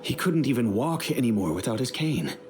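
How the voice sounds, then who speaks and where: An actor playing a grave middle-aged father and head of a noble family, speaking in a measured, solemn, storytelling way over game music. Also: medium pitch, big pitch swings, close to an everyday voice.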